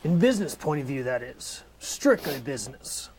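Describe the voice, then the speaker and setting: A man's voice: medium pitch, sing-song, normal speed, a little mellow, slight background noise, lightly acted. A man reading the part of an old male miser in an amateur comedy radio play, hesitant and stammering. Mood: fussy. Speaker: lead actor in a comedy reading.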